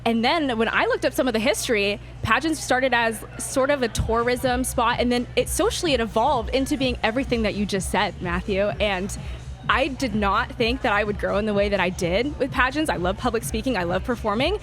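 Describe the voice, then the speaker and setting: A woman in her twenties, a podcast guest in casual chat, bubbly and quick to laugh. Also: on the high side, a bit bright, soft background music. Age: 20s.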